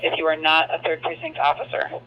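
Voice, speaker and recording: A man's voice: fairly high pitch, very animated, a little fast. A man, a police officer, issuing commanding orders to all units over radio. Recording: muffled, noisy police radio.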